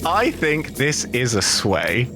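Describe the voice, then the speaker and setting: A man's voice: medium pitch, sing-song, takes it slowly. A man taking part as a player in a tabletop game, casually narrating his moves. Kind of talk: casual improvised roleplay and narration. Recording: music behind the voice.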